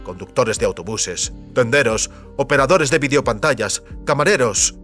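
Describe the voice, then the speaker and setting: A man playing a solemn, idealistic head of a secret family company in an audio drama, speaking in grave, deliberate speeches. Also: medium pitch, animated, fairly bright tone, soft background music, natural voice. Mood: fervent, resolute.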